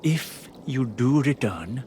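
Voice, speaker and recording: Anxious loyal warden over background noise. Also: male voice, medium pitch, expressive, slow. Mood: resolved, solemn. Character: anxious but loyal fantasy warden companion, self-doubting. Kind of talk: conversational video game dialogue. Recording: clear background ambient noise.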